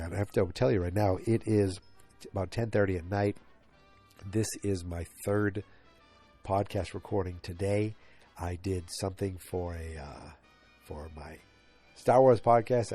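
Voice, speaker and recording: A stuffed-up man in his forties talks alone into his podcast mic, rambling and restarting his sentences. His voice sits on the low side and sounds mellow and dark. Faint music plays underneath. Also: normal speed. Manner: rambling, self-interrupting, many fillers and restarts. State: stuffed up, congested. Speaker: podcast host. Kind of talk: solo rambling podcast talk. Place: home, late at night.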